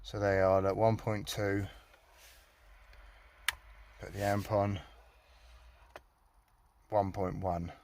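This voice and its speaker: A British man with a fairly low voice talks through hands-on work on his car. He is informal and colloquial, thinking aloud as he goes. The recording sounds like a handheld camera in and around the car, with light background noise. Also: little pitch movement, slow, long pauses, a little mellow. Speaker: hobbyist vlogger. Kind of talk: casual hands-on walkthrough.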